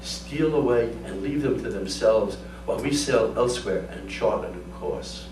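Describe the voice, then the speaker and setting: A man's voice: on the low side, animated, normal speed, background noise, close to an everyday voice. Man reading as wary wife, roomy sound. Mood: resigned. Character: wary, pitying wife of returned wanderer. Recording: roomy live event, distant mic.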